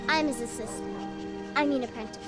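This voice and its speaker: Woman acting a high-voiced, boastful little tomboy. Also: brisk, close to an everyday voice. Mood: proud, defiant. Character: boastful, eager tomboy little girl, blacksmith's apprentice. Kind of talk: fantasy video game acting. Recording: loud music over the voice.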